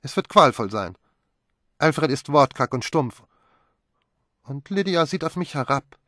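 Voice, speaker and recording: A man putting on a high, teasing young wife. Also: animated, no echo, clean studio sound. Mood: mocking. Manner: teasing, quick and sharp-tongued. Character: young woman, flippant and restless, mocking. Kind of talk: audiobook character voice.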